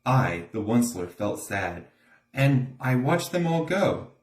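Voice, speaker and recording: A man reads a rhyming story aloud, theatrical and emphatic. His pitch is very animated and his pace unhurried, with clear room echo. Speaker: storybook reader. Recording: echoey room.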